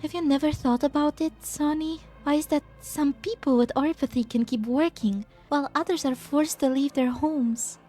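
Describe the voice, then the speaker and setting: Casting: actress for gentle, idealistic female scientist, high voice, earnest and formal, game dub. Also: moderate pace, few pauses, soft background music, lightly acted. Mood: calm persuasion. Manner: soft-spoken, earnest, formal. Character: gentle, elegant, idealistic woman scientist, serenely self-righteous. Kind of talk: scripted anime-game fan dub acting.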